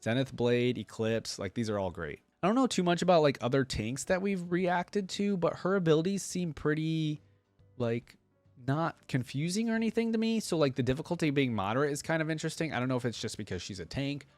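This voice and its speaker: A man's voice: fairly high pitch, lively intonation, fairly quick, dry recording, soft background music. Casual man reacting, dry close sound. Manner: easily impressed, thinking out loud. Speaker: host of a game reaction channel. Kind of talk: casual reaction commentary, reading ability descriptions aloud.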